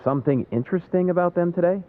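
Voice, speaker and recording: A man's voice: high-pitched, fast talker, phone-quality sound, soft background music, lightly acted. A man voicing an easygoing, friendly veterinarian in a video game, casual and teasing. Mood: laid-back, teasing. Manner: casual, breezy.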